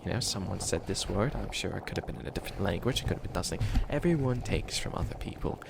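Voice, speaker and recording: A man's voice: sits low, animated, fast talker, creaky, heavy background noise, voice pushed down, full character voice. A man playing a bumbling, easily flustered male witch who wants to be a villain, stammering and rambling in comedic ASMR roleplay. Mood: defensive, rambling.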